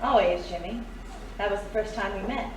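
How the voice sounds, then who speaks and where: A woman's voice: mid-range voice, fairly quick, natural voice. A woman playing a sly, confident thief in an audio drama, with a coy, knowing manner. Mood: fond. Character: sly, confident female thief, fond of old partner. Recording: very echoey, distant mic, noisy.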